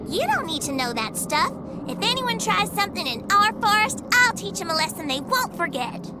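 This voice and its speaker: A woman's voice: very high-pitched, very animated, normal speed, very noisy. A woman voicing a brash, hot-tempered young forest guardian girl in a video game, talking bluntly and bossily over music. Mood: annoyed, cocky. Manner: blunt, bossy, scrappy tomboy talk. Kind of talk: English video game dub acting.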